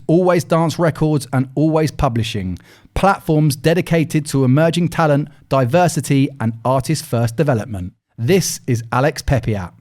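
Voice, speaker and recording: A man's voice: fairly high pitch, darkish tone. A man hosting a podcast, reading a scripted intro and then chatting casually with friendly, hesitant fillers. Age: older than 31. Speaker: podcast host.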